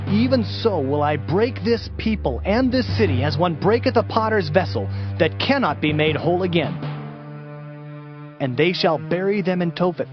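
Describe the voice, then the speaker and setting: An actor playing a stern prophet who declaims warnings of doom in a dramatized scripture reading. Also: male voice, on the high side. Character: stern male Hebrew prophet proclaiming doom. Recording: slightly muffled, lo-fi. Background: prominent music.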